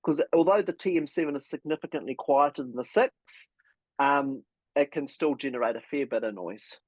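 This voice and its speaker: A man's voice: medium pitch, normal speed, no room sound, poor audio. A man hosting an online cooking class over a video call, upbeat and encouraging with his guests. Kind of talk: casual hosting.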